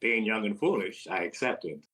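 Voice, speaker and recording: A man's voice: lowish voice, lively intonation, normal speed, a bit bright, faint music behind. A man playing a sardonic, wisecracking vaudeville-style comedian in a 1930s radio comedy. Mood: wry, mocking.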